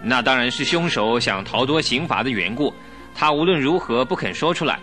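A man's voice: mid-range voice, animated, fairly quick, bright, forceful tone, soft background music. An actor playing a cocky, insistent police inspector in a radio drama, emphatic and pressing as he questions. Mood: exasperated, insistent. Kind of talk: Chinese radio drama acting.

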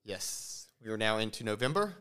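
A man co-hosting a casual podcast chat while sick with a runny nose, relaxed and joking, often restarting his sentences. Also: lowish voice, moderate pace, clean tone. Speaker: podcast co-host.

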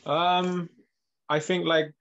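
Flat-toned man in his thirties. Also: a little high, extremely slow, lo-fi sound. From England and Northern Ireland. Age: 30s. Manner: loose, filler-heavy, lots of "like" and "you know". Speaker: guest, MMA fighter. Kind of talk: casual interview talk.

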